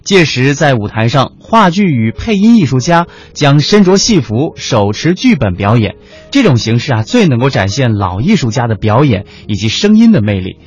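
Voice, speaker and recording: A man co-hosting a radio programme in conversational mainland standard Mandarin, measured, with small pauses. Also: medium pitch, sing-song, breathy edge. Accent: mainland Chinese standard Mandarin. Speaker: radio host. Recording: slightly dull audio with music underneath. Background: background music.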